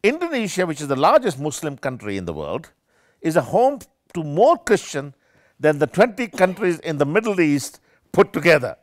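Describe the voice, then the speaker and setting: An older man, a professor, giving an animated and discursive academic lecture with many self-corrections, seated in a small room with armchairs. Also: on the high side, normal speed, no room sound, clean studio sound. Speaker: keynote speaker, professor.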